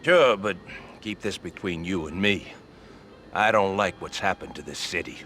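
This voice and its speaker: An actor playing an embittered old-school street mobster in a video game, grumbling in colloquial, streetwise talk. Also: male voice, low voice, normal speed, sharp tone, slight background noise. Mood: bitter. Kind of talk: video game crime drama acting.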